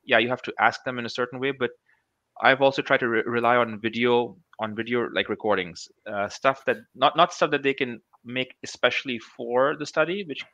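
A man's voice: medium pitch, fairly even intonation, a little fast, sharp tone, dry recording, muffled recording. A man in his thirties, a guest researcher on a video call interview, speaking thoughtfully with many restarts and fillers. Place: small room at home. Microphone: headset.

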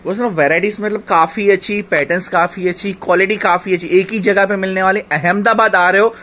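A man's voice: high voice, fairly even intonation, brisk, bright, forceful tone. A man vlogging inside a wholesale clothing shop, repeating upbeat praise of the stock in casual promotional chatter. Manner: repetitive enthusiastic praise. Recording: noisy shop floor.

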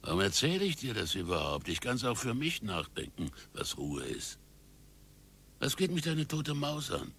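A man's voice: medium pitch, sing-song, moderate pace, fairly bright tone, breathy edge, full character voice. An actor playing a prickly older retired man in a radio play, blunt and sardonic. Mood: needling. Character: prickly old male retiree on a park bench.

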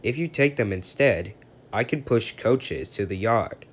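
Kind soft little engine, man reading it, lowish voice. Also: lively intonation, unhurried, breathy edge. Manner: gentle, kindly.

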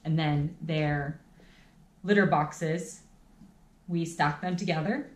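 A woman's voice: sits low, slow. A young woman vlogger chatting casually at home, dropping lots of "like" fillers. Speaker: travel vlogger. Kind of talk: casual chat. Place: small room at home, indoors. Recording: echoey room with faint noise.

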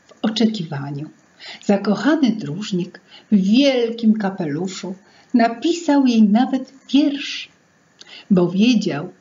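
Slow, animated middle-aged woman storyteller. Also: medium pitch. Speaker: author reading her own fairy tale. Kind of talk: expressive storytelling for children. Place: small indoor room at home. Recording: dull lo-fi sound, music behind. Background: music.

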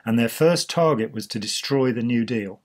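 A man, slightly hoarse, explaining history in a casual chat and gesturing freely as he talks. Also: medium pitch, normal speed, a little mellow. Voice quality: husky. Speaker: host. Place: small indoor room.

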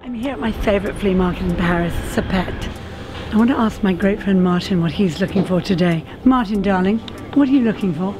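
A woman in her fifties, co-presenting in casual chat, brisk and chummy. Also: mid-range voice. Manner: brisk, chummy, calls people darling. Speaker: co-host, designer. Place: flea market and car. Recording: music bed underneath.

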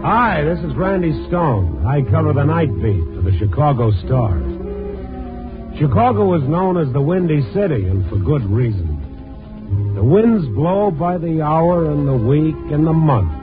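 Hard-boiled radio reporter, muffled recording. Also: male voice, fairly high pitch, sing-song, measured pace, over music. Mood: brooding. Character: hard-boiled, poetic Chicago night-beat newspaper reporter. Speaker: narrator and lead of a radio series. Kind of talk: 1950s radio drama narration. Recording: muffled old radio broadcast.

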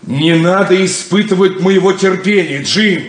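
Vintage radio drama: sardonic, short-tempered farmer, slightly high voice, roomy sound. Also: male voice, unhurried. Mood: exasperated. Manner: scolding, sardonic. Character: stern, irritable grown son, skeptical practical farmer. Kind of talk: 1970s Soviet radio play acting. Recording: roomy, noisy old radio recording.

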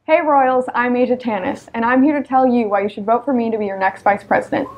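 A woman's voice: fairly high pitch, fast talker, lo-fi sound. A teenage American girl giving a prepared campaign speech as a student candidate. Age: teenager, about 14.

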